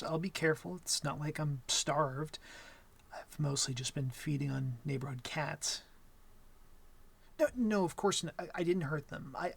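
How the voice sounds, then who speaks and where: A man playing a shy, awkward young vampire in an intimate ASMR roleplay, gentle and smitten, speaking softly and hesitantly with stammers and apologies. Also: a little high, vocal fry, breathy edge, exaggerated voice. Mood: hesitant, reassuring.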